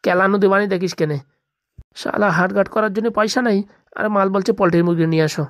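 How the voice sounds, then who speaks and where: A woman voicing an irritable, bossy male thief in a comedy cartoon, grumbling and scolding in rough slang. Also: mid-range voice, fairly even intonation, quick pace, no room sound, studio quality, close to an everyday voice. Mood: exasperated.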